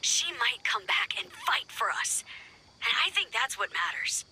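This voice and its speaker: A self-assured female resistance investigator from a video game. She is dry and conspiratorial, very sharp in tone and animated in pitch, with faint noise behind. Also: measured pace, full character voice, low-quality recording. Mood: impatient, determined.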